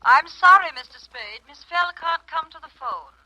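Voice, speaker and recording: An actress playing a brisk, sharp-tongued American woman with crisp, formal diction in a 1940s radio drama. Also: very high voice, lively intonation, heavily acted, rough recording. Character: brisk secretary-like female agent turning sharp-tongued blackmailer.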